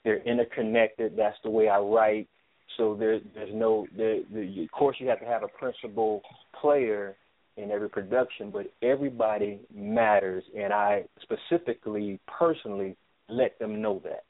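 A man answering interview questions as a theater producer, speaking haltingly and often repeating his words. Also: lowish voice, no echo. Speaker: stage play writer and producer. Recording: thin phone-line sound.

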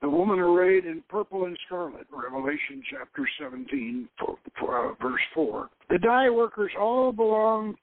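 A man voicing a worldly, learned woman archaeologist who explains things in a measured, teasing storyteller's way. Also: high voice, animated, no echo, narrow, tinny audio. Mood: storytelling.

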